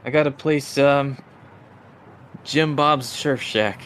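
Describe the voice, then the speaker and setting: A man playing a laid-back older uncle from a crime family in a tabletop game, terse and unhurried. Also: mid-range voice, fairly bright tone, a little hiss or hum, voice pushed up.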